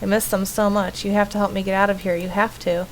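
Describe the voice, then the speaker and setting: A woman's voice: medium pitch, little pitch movement, fast talker, natural voice, rough recording. A woman voicing an earnest, pleading and distrustful young girl in a horror visual novel. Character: pleading, distrustful young girl, little sister trapped in painting. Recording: faint background noise.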